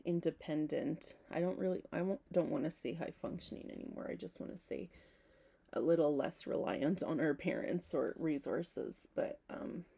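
Hoarse, gravelly young woman vlogging. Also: low-pitched, normal speed, mellow. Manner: rambling, self-interrupting, frequent "like". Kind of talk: casual talk to camera. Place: small home room, indoors.

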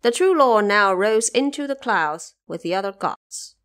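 A woman reads as the narrator of a fantasy audio drama. Her voice sits at an ordinary pitch for a woman, and the delivery is scripted storytelling. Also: expressive, measured pace, fairly bright tone.

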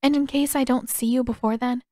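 A woman voicing a polite, dutiful young noblewoman with formal, measured phrasing in an audiobook story. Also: sits high, fairly even intonation, darkish tone, dry recording, voice pushed up, close to an everyday voice. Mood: earnest, gentle.

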